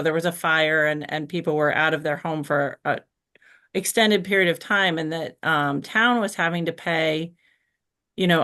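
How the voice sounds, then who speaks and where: Low-voiced American woman, tentative and conversational. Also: fairly bright tone. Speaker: school board member raising a question. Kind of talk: informal meeting question.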